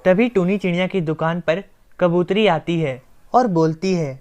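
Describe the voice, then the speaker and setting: Low-pitched woman narrating a children's story. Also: normal speed, darkish tone.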